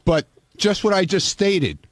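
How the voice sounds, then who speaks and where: A man's voice: high voice, sing-song, a little slow, dry recording. A man in heated, unscripted talk, blunt, profane and combative, as a staff member on a radio show.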